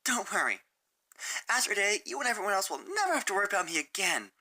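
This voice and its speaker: A woman voicing a dejected, guilt-ridden teenage girl in a fan story reading, downcast and halting. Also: medium pitch, very animated, bright, forceful tone, voice pushed up. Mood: tearful despair.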